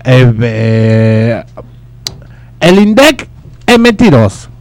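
A man playing a boastful, paranoid Brazilian ex-footballer on a radio comedy bit, with a put-on Brazilian Portuguese accent, rambling and halting as he mixes Portuguese into his Spanish. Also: mid-range voice, very animated, dry recording.

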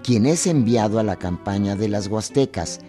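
A man's voice: lowish voice, normal speed, mellow, faint music behind. A man giving scripted dramatic narration for a historical radio drama.

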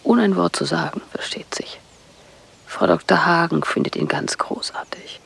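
A husky, whispering, embittered wife. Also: female voice, fairly low pitch, moderate pace, fairly bright tone, full character voice. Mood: bitter, weary. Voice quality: husky, breathy, often near whisper. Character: bitter, hunted wife in a psychiatric clinic. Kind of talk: radio play dialogue.

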